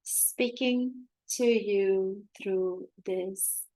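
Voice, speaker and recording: A woman with a Romanian accent voicing a loving collective of star beings that speak as one, in a very slow, measured, solemn way. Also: mid-range voice, steady tone, dark tone, no echo, low-quality recording. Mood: serene. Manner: very slow, measured, solemn delivery with long pauses.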